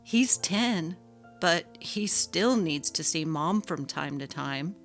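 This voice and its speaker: A woman's voice: sits low, expressive, slow, exaggerated voice. A woman voicing a patient, neglected husband who pleads earnestly in a dramatic story reading. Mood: hurt, reproachful. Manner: earnest, gently reproachful. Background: faint music.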